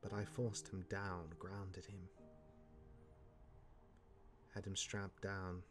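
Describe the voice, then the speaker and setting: A man playing a cursed demigod, a wry mentoring older brother who speaks in measured, dramatic pauses in an audio drama. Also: low-pitched, completely flat delivery, over music. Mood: wry, solemn. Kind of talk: dramatic audio roleplay dialogue.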